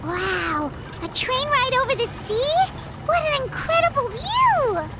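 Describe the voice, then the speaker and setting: A woman voicing a tiny, excitable fairy girl companion in an anime-style game, childlike and chatty. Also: very high-pitched, slow pace, very noisy, heavily acted. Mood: amazed. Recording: loud music over the voice.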